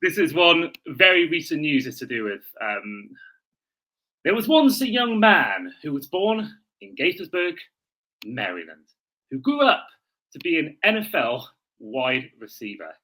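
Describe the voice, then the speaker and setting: A man hosts a casual podcast in a bright, forceful voice. His pitch moves around in an animated way, with a husky grain to the sound. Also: unhurried, low-quality recording. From Wales. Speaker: podcast host.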